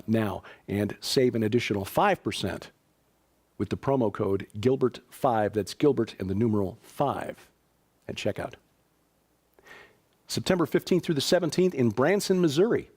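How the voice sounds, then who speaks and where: A man's voice: medium pitch, darkish tone, slightly rough. A man from Chicago hosting a news show, reading stories and announcements in a conversational way, with fillers and dry asides. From Chicago, USA. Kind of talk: casual news commentary.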